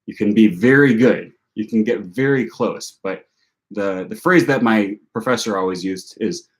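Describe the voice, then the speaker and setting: Young male host, northern US accent, low-ish voice, loose chatty delivery with lots of fillers and self-corrections. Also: moderate pace. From United States. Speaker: language learning channel host. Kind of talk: casual answer to viewer questions.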